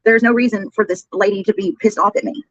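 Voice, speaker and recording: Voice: mid-range voice, fairly even intonation, fast, fairly bright tone, no echo. A livestreamer chatting casually, with a sarcastic manner and some swearing. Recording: dull lo-fi replayed livestream audio.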